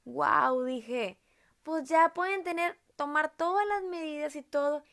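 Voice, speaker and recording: A woman's voice: high-pitched, a little slow, vocal fry, fairly bright tone. A woman from Monterrey with a Monterrey Mexican accent, hosting a casual podcast chat in a chatty, rambling way, fond of diminutives. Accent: Monterrey Mexican Spanish. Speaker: podcast host.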